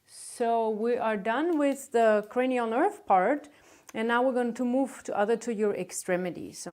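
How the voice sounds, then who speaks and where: Higher-voiced woman giving polite exam instructions. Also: measured pace, a little mellow. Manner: polite, instructive, reassuring short acknowledgements. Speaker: medical examiner conducting a physical exam. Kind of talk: calm step-by-step clinical exam instructions.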